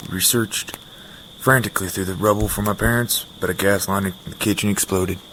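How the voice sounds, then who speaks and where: An actor plays a disaster survivor telling a story of loss in an audio drama. His male voice is fairly low, with faint noise behind it. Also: steady tone, fairly quick, a bit bright, poor audio. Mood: grave.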